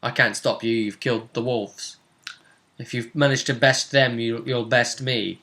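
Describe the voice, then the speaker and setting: Bright-voiced man recapping a fantasy game. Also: medium pitch, fairly even intonation, measured pace. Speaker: game master recapping a tabletop role-playing session.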